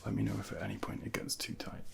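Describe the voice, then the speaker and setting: A man softly whispering a medical ASMR role play as a junior doctor, gently reassuring and instructing, close to a binaural microphone. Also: fast talker. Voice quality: breathy whispering, gravelly.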